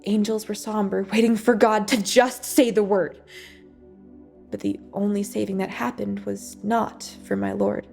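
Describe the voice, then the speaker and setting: A woman delivers a scripted, rhymed devotional monologue in a measured, dramatic recitation, with phrases broken by pauses. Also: mid-range voice, creaky, darkish tone. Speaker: church worship speaker. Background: music behind the voice.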